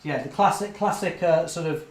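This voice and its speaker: A young man, slightly hoarse, chatting cheerfully through a live model railway session and correcting himself often. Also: a little high, normal speed, roomy sound. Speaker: model railway channel host. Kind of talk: live-stream running commentary.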